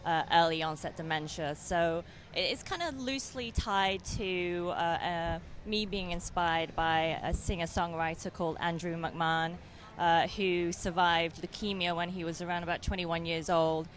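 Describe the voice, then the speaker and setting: Soft-spoken English woman, hesitant. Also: fairly low pitch, steady tone, moderate pace, slight background noise. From England. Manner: hesitant, many fillers and restarts. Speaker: film director. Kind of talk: interview answers.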